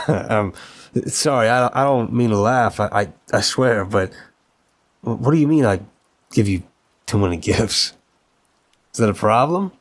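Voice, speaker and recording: A man playing an affectionate, apologetic young mafia boss boyfriend, speaking earnestly and politely with hesitant stammers in an intimate roleplay audio drama. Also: fairly low pitch. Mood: amused, earnest.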